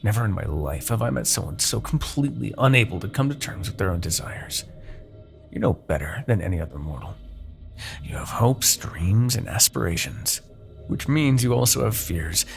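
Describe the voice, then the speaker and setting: A man playing a haughty, seductive demon king in a fantasy audio roleplay, persuading with silky condescension, dramatic pauses and a gravelly voice. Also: sing-song, faint music behind, heavily acted. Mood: probing, condescending. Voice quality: gravelly, creaky low voice. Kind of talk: fantasy ASMR roleplay monologue.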